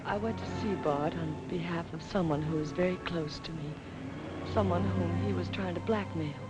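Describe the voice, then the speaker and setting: A woman's voice: on the low side, lightly acted, low-quality recording. An actress playing a poised, earnest young woman under suspicion in a 1940s film noir, speaking politely and carefully. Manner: measured, polite, hesitant. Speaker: film actor. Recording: 1940s film soundtrack with score behind.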